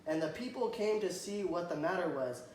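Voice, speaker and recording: A man reading a children's picture book aloud to a child, stopping to point at the pictures and ask questions, and often clearing his throat. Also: sits high, lively intonation, a little fast, darkish tone. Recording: echoey room, faint background noise.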